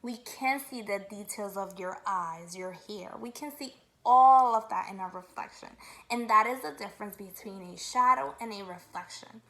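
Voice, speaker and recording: A woman's voice: mid-range voice, normal speed, fairly bright tone, poor audio. A woman kindergarten teacher leading a read-aloud lesson, upbeat and instructive, asking guiding questions.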